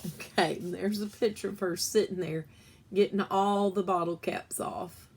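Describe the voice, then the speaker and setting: A woman's voice: on the low side, steady tone, normal speed, slightly rough. A woman reading a children's story aloud expressively, stretching key words as a storyteller does.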